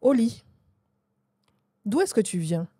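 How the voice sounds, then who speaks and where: A woman's voice: mid-range voice, big pitch swings, takes it slowly, mellow, breathy edge, no room sound, studio quality. A woman hosting an interview, encouraging her guest with short agreements and finishing his sentences. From Ivory Coast. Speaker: interviewer, podcast host. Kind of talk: casual interview talk.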